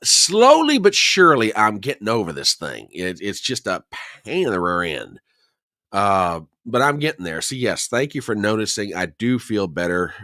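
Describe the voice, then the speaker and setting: A middle-aged man hosting a casual livestream, chatty and jokey, a little hoarse and nasal from a cold. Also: on the low side, sing-song, normal speed, sharp tone, no echo, studio quality. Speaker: host.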